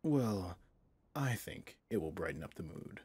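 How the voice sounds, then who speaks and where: A man acts out a manipulative, possessive villain prince in an intimate ASMR audio drama. His voice is lowish and heavily breathy, recorded close and dry. He speaks in soft, measured, courtly phrases with smooth menace. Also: big pitch swings, dry recording, exaggerated voice. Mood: coolly genteel. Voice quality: breathy, husky.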